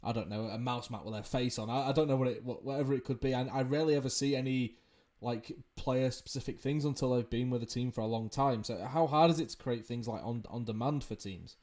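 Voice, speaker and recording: A man's voice: medium pitch, quick pace, darkish tone. A man hosting a podcast, putting questions in a casual, conversational and slightly hedging way. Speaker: podcast host. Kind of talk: casual interview talk.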